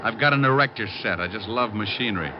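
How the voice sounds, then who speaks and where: An actor playing a brash, wisecracking private detective with an American accent, breezy and flippant in 1940s radio style. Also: male voice, fairly low pitch, expressive, fast, noisy background, close to an everyday voice. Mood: sardonic. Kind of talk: 1940s radio acting, hard-boiled first-person narration. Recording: dull old radio recording with music.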